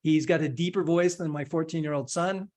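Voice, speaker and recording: Male instructor in his forties, casual tutorial delivery, step-by-step with light humor, slightly high voice. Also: steady tone, fairly quick, a little mellow. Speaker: instructor giving a software demo. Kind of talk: screen-share tutorial, casual explanation.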